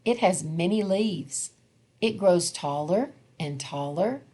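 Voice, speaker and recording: A woman reads a picture book aloud for young children. Her voice sits a little low, and she takes her time with an expressive, measured delivery, giving each phrase room. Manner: expressive, measured, deliberate storytelling. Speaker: storybook reader.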